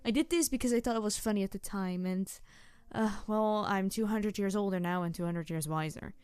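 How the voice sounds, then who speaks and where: A woman voicing a playful, mischievous tanuki spirit girl in a roleplay audio drama, teasing the listener in an excitable, rambling way. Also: mid-range voice, a little fast, clear, resonant tone, no room sound, lightly acted. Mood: sheepish, wistful. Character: playful, mischievous young-sounding tanuki trickster spirit girl, over two hundred.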